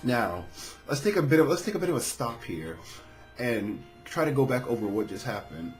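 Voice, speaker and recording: A man's voice: mid-range voice, sing-song, normal speed. A man narrating and commenting on a visual novel playthrough as he reads it aloud. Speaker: video game streamer reading aloud. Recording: music behind the voice.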